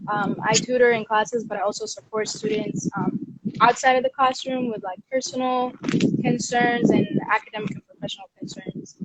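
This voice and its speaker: A nineteen-year-old woman from Sudan chats casually and warmly as a guest on a video call. Her voice sits slightly high. Wind and clear noise run under the call audio from outdoors. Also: normal speed. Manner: friendly, relaxed, slangy. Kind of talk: casual chat. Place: outdoors, windy. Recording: noisy windy video call.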